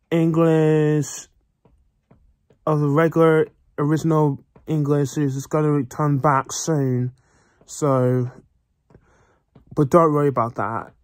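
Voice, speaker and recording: A channel host giving casual reaction commentary in a halting way, repeating words while searching for phrasing. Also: medium pitch, darkish tone, no echo, studio quality.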